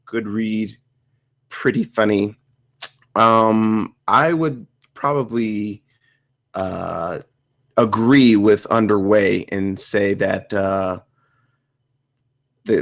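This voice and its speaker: A man giving casual, conversational feedback on a script as a panelist. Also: lowish voice. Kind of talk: casual spoken critique.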